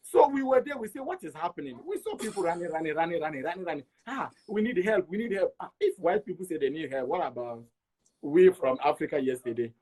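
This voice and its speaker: Higher-voiced Togolese man telling his story. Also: lively intonation, fast talker, a little mellow, husky, rough recording. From Togo. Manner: repeats words for emphasis, acts out quoted dialogue. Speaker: interview guest. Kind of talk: animated personal storytelling.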